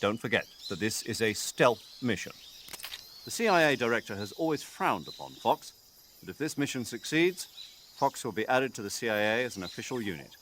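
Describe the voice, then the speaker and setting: An authoritative middle-aged military commander with a mid-pitched voice. He briefs formally and earnestly in a video game, explaining each point, over faint background noise. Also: very animated, brisk, a bit bright. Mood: earnest briefing.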